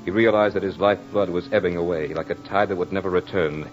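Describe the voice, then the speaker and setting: A muffled old radio recording with music carries a man playing a proud soldier and duelist. His voice is low, his delivery florid and theatrical, in the grand manner of a mid-century horror broadcast. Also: fairly even intonation, fast, natural voice. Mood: brooding, remorseful. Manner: theatrical, formal, florid diction. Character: proud battle-hardened soldier duelist, remorseful as he narrates. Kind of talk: 1940s-50s radio horror drama acting.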